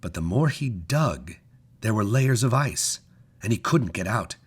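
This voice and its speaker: Deep-voiced man reading a story aloud. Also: husky. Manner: measured, expressive storytelling with deliberate pauses. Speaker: story reader. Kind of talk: scripted dramatic story narration.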